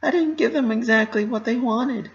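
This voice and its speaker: A man's voice: extremely high pitch, normal speed, pitched above the performer's own voice. A man voicing an anxious, guilt-ridden young female pony in a dramatic story reading, self-critical and pleading. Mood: upset, self-blaming. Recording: slightly muffled lo-fi recording.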